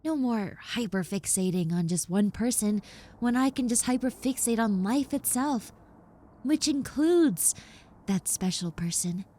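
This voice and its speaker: A woman's voice: a little high, lively intonation, unhurried, mellow. A woman playing a shy, rambling young roommate, a reformed obsessive, in an intimate audio roleplay with hesitant, stammering delivery. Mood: bashful, touched. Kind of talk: roleplay audio acting, intimate one-sided conversation.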